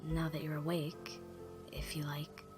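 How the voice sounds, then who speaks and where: Actress as young female survivor, low-pitched, serious, measured, guarded, zombie drama, strong background music. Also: completely flat delivery, darkish tone. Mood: grave, measured. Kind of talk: dramatic audio drama acting.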